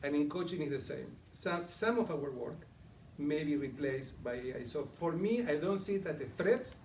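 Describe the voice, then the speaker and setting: A man's voice: on the high side, animated, normal speed, darkish tone, distant mic, a little hiss or hum. A middle-aged man with an Argentinian accent, giving a conversational live presentation and asking the audience questions. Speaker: coaching presenter. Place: meeting room with a live audience. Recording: echoey room, voice from afar.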